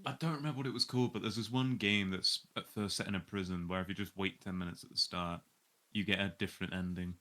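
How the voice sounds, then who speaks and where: A man's voice: deep voice, fairly even intonation, fast, sharp tone. A man chatting and joking casually as part of a group livestream.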